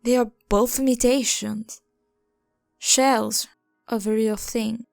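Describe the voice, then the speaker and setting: Indignant, higher-voiced nature goddess played by a woman. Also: fairly even intonation, slow, gravelly, a little mellow, no echo, studio quality, soft background music. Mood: disgusted. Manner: scornful, complaining. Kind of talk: fantasy audio drama acting.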